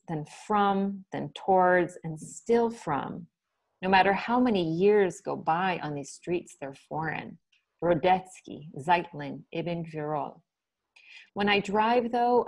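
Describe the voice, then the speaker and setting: A woman poet reading her own poems on a video call in a soft, measured way, with short spoken asides between them. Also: sits low.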